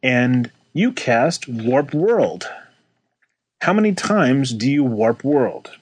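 A man's voice: mid-range voice, sing-song, slow pace, slightly rough, dry recording. Chatty man telling a story. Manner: chatty storyteller, laughs often. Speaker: podcast co-host. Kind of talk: casual chat.

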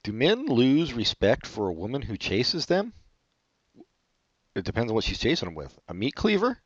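A man hosting a casual comedy chat, reading online questions aloud and answering with deadpan sarcasm. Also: normal speed, slightly rough, no echo. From California. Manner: sarcastic, deadpan jokes with sudden pitch jumps. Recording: dull, muffled lo-fi audio.

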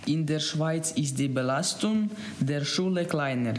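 Higher-pitched teenage boy, Ukrainian accent. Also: unhurried. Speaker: student reporter. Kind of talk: scripted report. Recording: faint background room noise.

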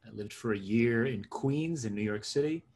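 Middle-aged man with a slightly husky voice. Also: mid-range voice, normal speed, a little mellow. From born in Israel, raised in suburban New Jersey. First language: Hebrew. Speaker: interview guest, tech consultant and coach. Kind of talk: conversational interview answers.

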